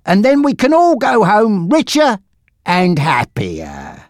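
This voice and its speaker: A narrator voicing a menacing, taunting male gangster boss in an audiobook story, with a threatening, drawn-out delivery. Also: medium pitch, huge pitch swings, no room sound, clean studio sound, voice pushed up. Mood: taunting menace.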